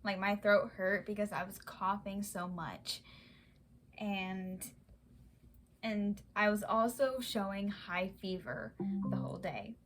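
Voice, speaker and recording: A young woman vlogger telling a personal story in a halting way, with many fillers and restarts. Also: medium pitch, fairly bright tone, faint music behind. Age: young adult. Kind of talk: casual personal account.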